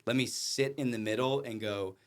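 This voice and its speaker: A man's voice: medium pitch, moderate pace. A man hosting a podcast with casual banter, blunt and slangy, often repeating himself. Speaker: podcast host.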